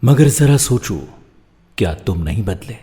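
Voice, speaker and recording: A man voicing the weather as a brooding, reproachful spirit in a dramatic, deliberate monologue. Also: lowish voice, big pitch swings, warm tone, in a large hall.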